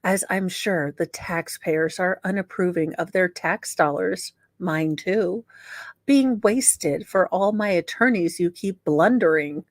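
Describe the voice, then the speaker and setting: A woman's voice: on the low side, slow, no room sound, clean studio sound. A woman host doing a dramatic reading of letters, with sardonic, mocking asides.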